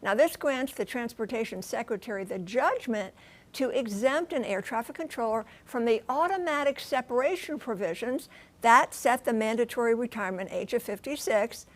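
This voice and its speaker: A woman working as a TV news correspondent, explaining things conversationally with emphasis and rhetorical questions. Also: a little high. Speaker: news transportation correspondent and pilot.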